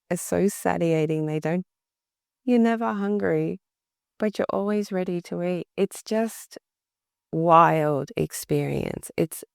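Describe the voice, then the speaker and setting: Slow woman with a worn, gravelly voice. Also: darkish tone, no echo, clean studio sound. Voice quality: deep, gravelly. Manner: slow, reflective, repeats words for emphasis. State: voice worn out from talking a lot. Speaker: podcast host. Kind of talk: solo podcast monologue.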